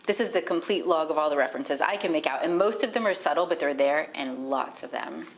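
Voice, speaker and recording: A woman's voice: low voice, fairly quick. An actress playing a worried, cautious female investigator, serious and matter-of-fact, in game dialogue. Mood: grave. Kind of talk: naturalistic recorded meeting dialogue. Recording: noisy meeting tape.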